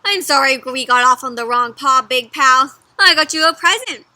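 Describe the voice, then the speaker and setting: A woman's voice: high-pitched, normal speed, pushed and bright, dry recording. A woman voicing a small, silly, eager male dog from a picture book, in a chummy, emphatic way. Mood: friendly, eager. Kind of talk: children's picture book read-aloud.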